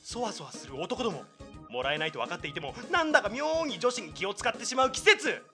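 A man's voice: high-pitched, big pitch swings, quick pace, faint music behind, exaggerated voice. A man playing a hot-blooded young man in a comedy audio drama, ranting bitterly and theatrically about romance. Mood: theatrical brooding. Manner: melodramatic, shouting rants with mock-grand speeches. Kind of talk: comedic anime-style voice drama.

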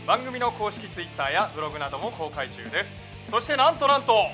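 A man's voice: high-pitched, sing-song, a little fast, bright, forceful tone, soft background music. A man co-hosting a radio show as a voice actor, giving upbeat announcements and casual replies.

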